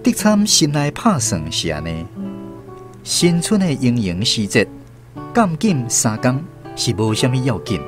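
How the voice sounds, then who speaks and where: A man's voice: mid-range voice, big pitch swings, unhurried. A man with a Taiwanese accent narrating a literary radio drama from a script. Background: plucked guitar music.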